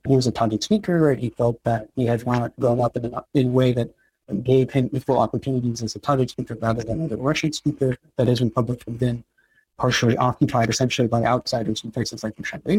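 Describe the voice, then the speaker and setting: A man answering interview questions about history, measured and explanatory, often checking in with "right?". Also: medium pitch, a little mellow, slightly rough, no echo, rough recording. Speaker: historian guest.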